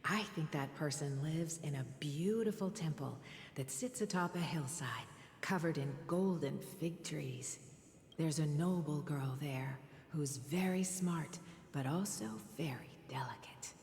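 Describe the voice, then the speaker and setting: A woman voicing a gentle, reassuring mother and priestess in a video game, soft and breathy. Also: sits low, a little slow, slight background noise. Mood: gentle, storytelling. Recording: echoey long reverb under game music.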